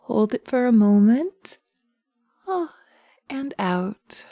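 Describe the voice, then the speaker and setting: A woman voicing a poised, nurturing, gently bossy witch in an audio roleplay, with a genteel, coaxing and chiding manner. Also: a little high, big pitch swings, slow, dry recording, studio quality, exaggerated voice. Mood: soothing.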